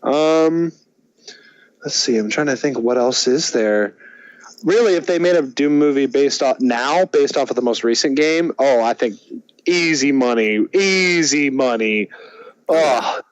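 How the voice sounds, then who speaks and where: A man's voice: mid-range voice, unhurried, clear, resonant tone, no room sound. Man thinking aloud, slightly dull sound. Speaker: podcast co-host. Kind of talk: casual film chat.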